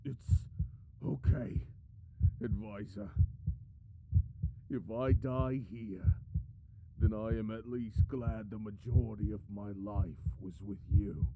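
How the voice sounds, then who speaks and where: A man acting in an ASMR audio roleplay as a devoted, anguished royal lover, pleading and soothing in a halting, tender way. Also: on the low side, creaky. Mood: tender, resigned. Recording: muffled, echoey, lo-fi.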